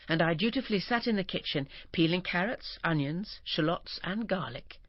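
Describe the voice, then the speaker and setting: A woman's voice: low-pitched, no echo. Woman reading a memoir expressively. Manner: expressive, turning breathy and whispered at moments of feeling. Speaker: audiobook narrator. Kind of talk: first-person memoir reading. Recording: dull, dry recording.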